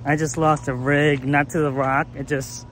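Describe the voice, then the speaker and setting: A man in his forties giving relaxed, matter-of-fact vlog commentary on a fishing trip, filming himself with a phone held at arm's length. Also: on the high side, normal speed. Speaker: vlogger. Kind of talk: casual vlog narration. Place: outdoors by a river below a dam. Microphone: phone camera held at arm's length. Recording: noisy outdoor phone recording.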